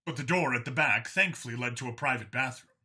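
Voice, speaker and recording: A man's voice: mid-range voice, fast, pushed and bright, breathy edge. A man narrating a scripted science fiction story as an audiobook reader.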